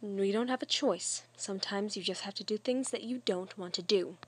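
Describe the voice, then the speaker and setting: A woman voicing a serious, logical young man in an amateur comic dub, deadpan and matter-of-fact. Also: mid-range voice, normal speed, slightly rough, no echo, natural voice. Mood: flat, stern.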